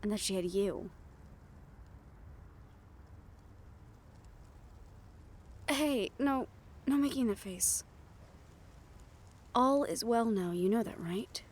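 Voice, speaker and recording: A woman playing a gentle, wistful half-siren, half-banshee who confides softly in an intimate roleplay audio, with reflective pauses. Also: mid-range voice, slightly rough. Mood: reassuring.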